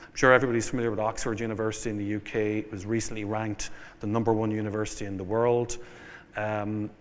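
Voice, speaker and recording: A man with an Irish accent giving a conference keynote, setting out points in a measured way with fillers and restarts. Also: lowish voice, level tone, a little hiss or hum. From Ireland. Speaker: keynote speaker. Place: conference hall. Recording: long hall reverb.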